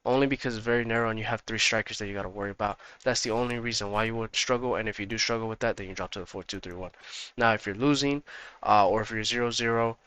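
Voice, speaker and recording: Male gaming host, slightly hoarse, casual and repetitive, talks straight to his viewers; bright, crisp timbre with a dry close sound. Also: fairly low pitch, fairly even intonation, fast talker, no room sound. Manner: rambling, repeats himself, talks straight to viewers. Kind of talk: casual tactics walkthrough.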